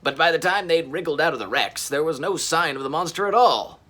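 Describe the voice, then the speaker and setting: A man's voice: a little high, expressive, a little fast, sharp tone. A man voicing a helpful, slightly worried male dinosaur boss in a children's story, explaining things emphatically. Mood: rueful.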